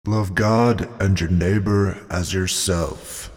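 A solemn male God speaks in long, booming reverb. The voice is deep and husky, with kindly, drawn-out delivery for comedy. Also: steady tone, darkish tone. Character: God, a solemn, slow, benevolent male deity.

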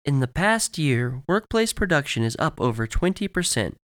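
A man voicing a polished, upbeat woman presenting a corporate promotional announcement in a measured way. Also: fairly high pitch, big pitch swings, dry recording, clean studio sound, voice pushed up. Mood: upbeat, soothing.

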